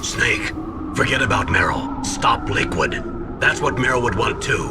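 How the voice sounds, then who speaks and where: An actor playing a firm army colonel in a video game, speaking with grave authority. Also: male voice, mid-range voice, lively intonation, unhurried. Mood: commanding. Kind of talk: dramatic video game voice acting. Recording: loud music behind.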